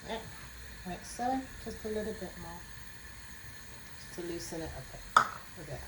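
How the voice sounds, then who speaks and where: Woman, Jamaican accent, lowish voice, chatty home-cooking tutorial, kitchen background noise. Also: animated, unhurried. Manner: chatty, adds "yeah?" after most phrases. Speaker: home cook.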